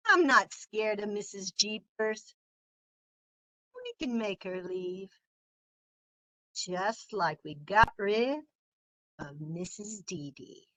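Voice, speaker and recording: A woman's voice: mid-range voice, lively intonation, takes it slowly, long pauses, bright, forceful tone, dry recording, rough recording. A woman doing a cocky schoolboy troublemaker. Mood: scheming.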